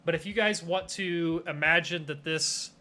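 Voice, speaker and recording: A man's voice: on the high side, steady tone, normal speed, pushed and bright. A young man running a tabletop game as game master, rambling with plenty of fillers and restarts. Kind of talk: casual tabletop game narration.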